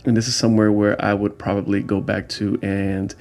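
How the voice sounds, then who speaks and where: A man's voice: on the low side, level tone, brisk, a little mellow. A man hosting his own vlog, talking through his street photos in a casual, explanatory voiceover with frequent fillers. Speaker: street photographer and channel host.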